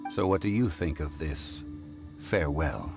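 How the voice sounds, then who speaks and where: A man playing a calm, refined, world-weary gentleman sage in a video game, speaking in a measured, formal way. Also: rumbling low, gravelly, over music, exaggerated voice. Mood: composed.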